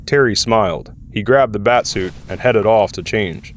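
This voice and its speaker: A man serves as narrator of an audio drama. His voice sits fairly low as he reads scripted prose, with a faint bed of background noise behind him. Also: normal speed.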